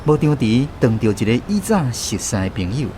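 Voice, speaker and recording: A man's voice: medium pitch, sing-song, moderate pace, dark tone, a little hiss or hum. A man with a Taiwanese accent narrating a scripted first-person story for radio. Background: instrumental music.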